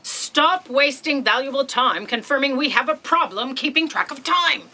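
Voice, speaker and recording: A woman's voice: high-pitched, animated, normal speed, sharp tone, heavily acted. A woman playing an imperious, impatient, cutting office boss in a comedic sci-fi audio drama. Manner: bossy, cutting, commanding.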